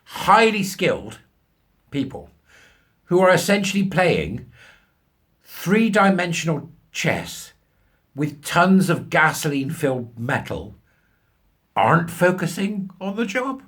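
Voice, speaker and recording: A British man in middle age with a husky voice that swings widely in pitch. He delivers opinionated commentary in a colloquial, sarcastic way, sounding incredulous as he rants. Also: big pitch swings, takes it slowly, pauses often, fairly bright tone. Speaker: commentary show host. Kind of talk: ranting commentary on a news article.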